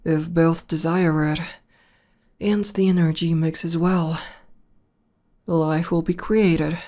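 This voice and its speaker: A woman playing an affectionate, teasing tomboy demon girlfriend in an intimate ASMR roleplay, speaking softly with hesitant pauses. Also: low voice, steady tone. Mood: explaining gently. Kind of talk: ASMR roleplay audio spoken to the listener.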